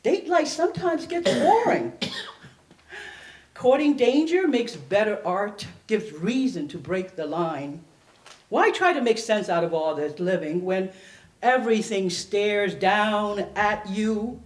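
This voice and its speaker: Echoey, slow, dramatic woman poet. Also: medium pitch, animated. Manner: theatrical, deliberate phrasing with long pauses. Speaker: poet performing at a library reading. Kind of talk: dramatic poetry recitation. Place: indoor library event space. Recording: echoey room, public event recording.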